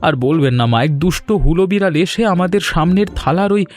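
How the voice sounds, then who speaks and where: A man plays an eager young son-in-law in an animated village story. His voice sits fairly high and he speaks with emphatic, respectful excitement. Faint music runs underneath. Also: fast talker, natural voice. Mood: indignant explaining. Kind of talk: animated folk-tale dialogue.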